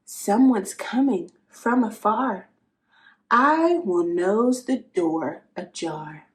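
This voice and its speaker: A woman's voice: medium pitch, extremely slow. Woman doing an eager little storybook mouse. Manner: excited, insistent repeating.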